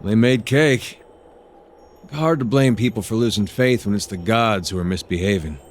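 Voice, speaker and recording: An actor playing a wry, irreverent former soldier in a video game, with a dry, sardonic delivery. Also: male voice, lowish voice, moderate pace, slight background noise, close to an everyday voice. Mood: wry, defiant. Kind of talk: scripted video game dialogue.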